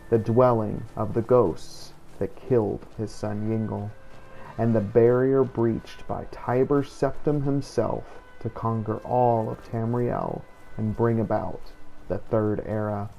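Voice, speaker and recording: A man's voice: on the low side, gravelly, warm tone, low-quality recording. A man reading scripted, in-character narration for a video game playthrough in a solemn, literary storytelling manner.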